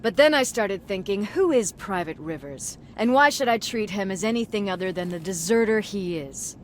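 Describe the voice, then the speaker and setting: Stern sarcastic army commander lady voiced by an actress, video game drama, faint engine rumble behind. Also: lively intonation, moderate pace, lightly acted. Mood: cold sarcasm. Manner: sardonic, intimidating. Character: stern, sarcastic, contemptuous female resistance field commander.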